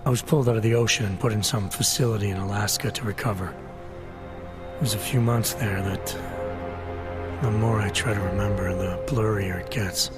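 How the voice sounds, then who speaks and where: Game role: guarded, weary augmented agent, played by a man. Low gravelly male voice, deadpan, under loud music. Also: brisk, lightly acted. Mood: brooding, guarded. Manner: terse, deadpan. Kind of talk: video game cutscene acting.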